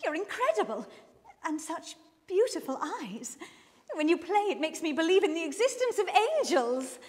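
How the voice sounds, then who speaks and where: In a reverberant hall, a woman acts a besotted schoolteacher for a radio play. The voice is high and swoops widely, effusive and theatrical. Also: big pitch swings, moderate pace. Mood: besotted, gushing. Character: gushing, adoring schoolteacher woman, grandly besotted with a pupil. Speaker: actor in an amateur radio play. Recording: echoey hall, long reverb.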